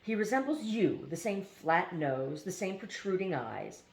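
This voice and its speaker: A woman reading a philosophy essay aloud in a deliberate, emphatic way, now and then stumbling on foreign names. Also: fairly low pitch, sing-song, gravelly, a little mellow. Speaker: reader. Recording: echoey room.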